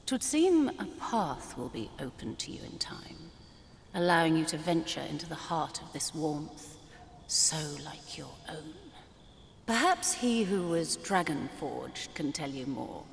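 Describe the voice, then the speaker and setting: A solemn female oracle speaks very slowly in a long reverb. Her voice is lowish and serene, with measured archaic phrasing fit for a fantasy game. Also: big pitch swings, creaky, heavily acted. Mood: solemn, mystical. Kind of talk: fantasy video game acting. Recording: long reverb, faint noise.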